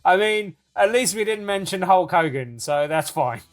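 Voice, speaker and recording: A man's voice: high-pitched, lively intonation, normal speed. An English man co-hosting a podcast, laughing often and dropping wry quips. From England. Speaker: podcast co-host. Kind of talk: casual chat.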